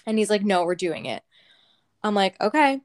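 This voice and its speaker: A young woman with a medium-pitched voice, telling a story casually as a podcast co-host. She speaks in an animated way and swears without holding back. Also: normal speed.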